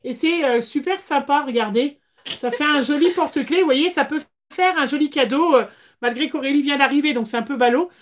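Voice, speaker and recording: A woman, chatting casually as the host of a craft livestream, with hesitant pauses. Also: high voice. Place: small indoor craft room.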